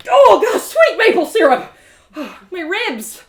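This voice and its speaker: A woman's voice: extremely high pitch, big pitch swings, takes it slowly, pitched above the performer's own voice, full character voice. A woman playing a plucky, easily panicked young heroine in an audio drama, talking to herself in emotional exclamations. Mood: pained, panicking. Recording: roomy, echoey sound.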